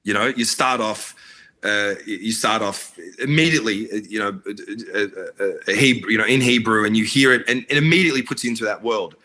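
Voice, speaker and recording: A man's voice: fairly low pitch, brisk, sharp tone. A man, born in Iraq, talking earnestly as a filmmaker guest in a casual interview, with lots of fillers and restarts. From Iraq, raised in Australia. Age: adult, married.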